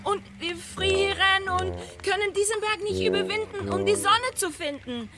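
An actress playing Tulippa, a gentle, polite young girl in a children's radio play, with music underneath. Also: very high voice, normal speed. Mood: sorrowful. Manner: polite, sorrowful.

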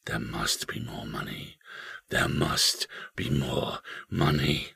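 A man voicing the eerie, insistent whisper of a haunted house, breathy and drawn out, in a storybook reading. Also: extremely low pitch, pushed and bright, voice pushed down, full character voice. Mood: eerie, secretive. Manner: slow, drawn-out repetition.

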